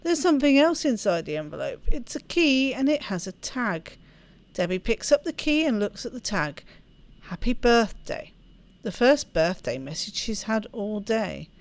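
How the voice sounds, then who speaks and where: A woman's voice: on the high side, sing-song, normal speed. A woman hosting a gaming video, chatting casually and reading story text aloud with expression. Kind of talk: let's play chat and expressive read-aloud narration.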